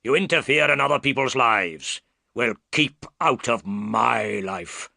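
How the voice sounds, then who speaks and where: A man's voice: medium pitch, animated, unhurried, vocal fry, pushed and bright, dry recording, studio quality, exaggerated voice. A man voicing a huge, cruel and rude man who speaks in a menacing way.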